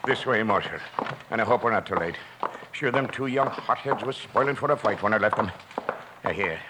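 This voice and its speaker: Irish townsman of the Old West male actor, excitable brogue, radio drama, clear background noise of an old recording. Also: on the low side, fairly quick, lightly acted. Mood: alarmed, puzzled. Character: excitable Irish shopkeeper in a frontier town. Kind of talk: old-time radio Western acting.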